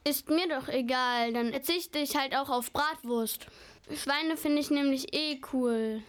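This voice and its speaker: A woman's voice: high-pitched, a little slow. A young female amateur playing a defiant, animal-loving child in an audio drama.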